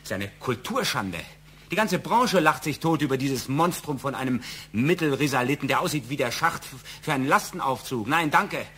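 A man's voice: medium pitch, expressive, moderate pace, pushed and bright, a little hiss or hum. An actor playing an aggrieved, defensive architect and family father in a German radio play, indignant and given to repeating himself.

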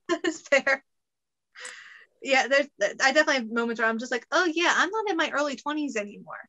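Bright, chatty young woman. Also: high voice, expressive. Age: late 20s. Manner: chatty, laughs often, quick asides. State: pregnant. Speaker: guest costumer. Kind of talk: casual video-call chat. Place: home room, indoors. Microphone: video call.

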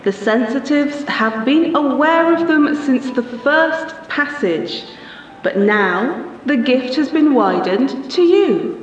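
An actress playing a calm, stately government official making a formal statement in an audio drama. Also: female voice, high voice, slow. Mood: reassuring. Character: calm, stately female official of spirit affairs. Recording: muffled, echoey hall, distant mic.

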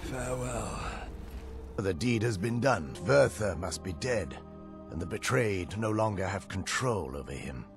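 Noble elf knight: solemn, measured, formal fantasy game delivery. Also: male voice, on the low side, animated, over music. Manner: formal, grave. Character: solemn, noble male elven knight-paladin. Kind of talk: fantasy video game acting.

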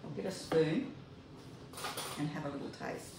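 An older woman with a low voice and a gravelly edge talks viewers through a recipe in her home kitchen. The hard kitchen walls make her sound echoey, and appliance noise runs clearly under her voice. She chats easily as she works, as though showing a friend. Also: measured pace. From Australia. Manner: chatty, talks to viewers while working. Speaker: cooking video host. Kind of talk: home cooking demonstration. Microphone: camera mic at a distance.

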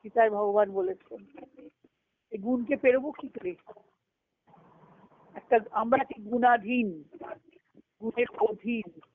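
A woman's voice: a little high, takes it slowly, long pauses. A woman giving a devotional lecture on scripture over a conference call, teaching by repeating key phrases and answering her own questions. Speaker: spiritual discourse speaker. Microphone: conference call phone line. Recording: tinny narrowband conference phone line.

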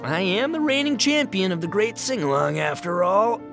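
A loud, cocky young oni who brags like a rock star. His voice leaps up and down as he shouts and teases. Game music sits clearly behind him. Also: sits high, very animated, normal speed, exaggerated voice. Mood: boisterous. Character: brash young horned oni man, boastful rocker. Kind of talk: video game voice acting.

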